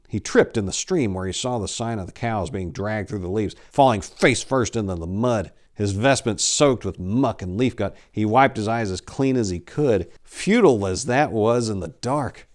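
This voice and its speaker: An American man in his forties telling a story in a theatrical, expressive, dramatic storyteller's manner. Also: lowish voice. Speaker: author reading his own short story. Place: indoor room lined with bookshelves. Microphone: lapel mic.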